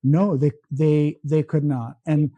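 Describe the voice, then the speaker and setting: A man from the Philippines, his first language Tagalog, co-hosting a podcast in casual chat, talking slowly and halting with many restarts. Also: on the high side, little pitch movement, dark tone, dry recording. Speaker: podcast co-host.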